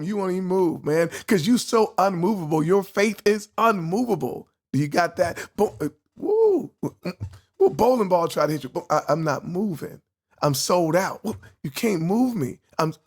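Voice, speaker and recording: A man in his sixties hosting a motivational vlog, talking in an animated, preachy way, repeating his questions and laughing often. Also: sits high. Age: 60s. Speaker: host.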